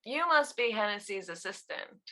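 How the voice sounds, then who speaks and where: A woman playing a wry, unflappable congressional assistant with a dry, quick-witted manner. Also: mid-range voice, animated, sharp tone. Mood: guarded, dry.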